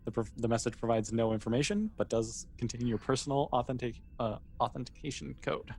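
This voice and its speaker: A man with a mid-pitched voice acts as game master, improvising the narration in a loose, conversational way. He often pauses to think aloud. The recording has some faint noise behind him. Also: vocal fry, a little mellow.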